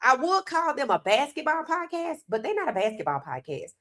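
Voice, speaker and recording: A woman's voice: sits high, big pitch swings, brisk, fairly bright tone. Congested woman hosting a sports podcast. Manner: chatty, loose and conversational, talking straight to viewers. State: congested from a cold. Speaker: podcast host. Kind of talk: casual sports commentary.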